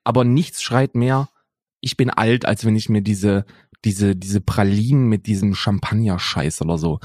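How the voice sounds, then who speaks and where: A man's voice: on the low side, moderate pace, a little mellow, dry recording, studio quality. Man rambling dryly on a call. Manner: rambling, many fillers and restarts. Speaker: podcast co-host. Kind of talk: casual chat. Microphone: remote call recording.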